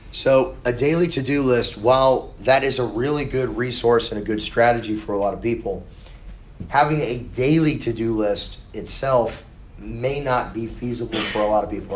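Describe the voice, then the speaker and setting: Hoarse guy teaching class, chill and reassuring, roomy echo. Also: on the low side, a little hiss or hum. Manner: casual, reassuring, conversational. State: hoarse, recovering lost voice. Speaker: college instructor. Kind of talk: classroom lecture.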